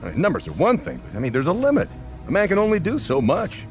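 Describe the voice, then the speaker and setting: A man's voice: on the high side, wildly swooping pitch, fast talker, a little mellow, faint music behind. A man playing a blunt, exasperated veteran factory foreman near pension age in a radio drama, plain-spoken and colloquial. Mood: grumbling, defiant. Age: older.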